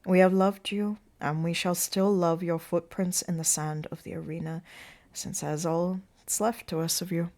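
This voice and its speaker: A circus clown, acted by a woman in a stage drama. He speaks up loudly and oratorically, with a grand, poetic sense of farewell. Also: on the low side, steady tone, moderate pace, lightly acted. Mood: grand, wistful. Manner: loud, oratorical.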